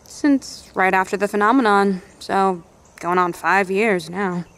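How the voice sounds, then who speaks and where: A no-nonsense woman who runs a survivor outpost in an audio drama. She speaks bluntly and plainly at a middle pitch, with a folksy directness. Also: a little slow, fairly bright tone. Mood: matter-of-fact.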